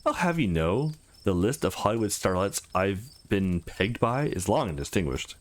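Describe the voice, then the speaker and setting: A man's voice: lowish voice, sing-song, normal speed. A man voicing a guarded, brooding man with dry wit and terse delivery in a visual novel. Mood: dry, joking.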